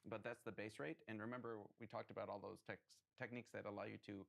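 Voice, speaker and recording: Male hardware developer, low gravelly voice, measured explanations in an interview. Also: steady tone. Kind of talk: technical interview answers.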